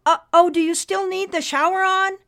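A woman's voice: very high voice, normal speed, pitched above the performer's own voice, exaggerated voice. A woman reading a storybook voices a young girl who talks urgently and insistently. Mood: nervous. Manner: urgent, excited, pleading.

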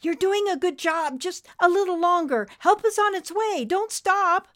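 A woman's voice: extremely high pitch, expressive, moderate pace, fairly bright tone, pitched above the performer's own voice. A woman voicing a small, innocent, loyal male creature who speaks earnestly and pleadingly in an audiobook story.

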